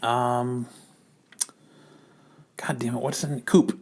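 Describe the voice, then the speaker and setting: A man's voice: mid-range voice, flat delivery, slow, darkish tone. Man hosting with casual, jokey banter. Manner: jokey, profane. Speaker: podcast host. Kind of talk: casual banter introducing a comedy reading.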